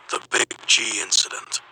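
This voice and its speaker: A man voicing a reserved, wistful young man in a video game, measured and gently reflective. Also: rough recording. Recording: music behind the voice.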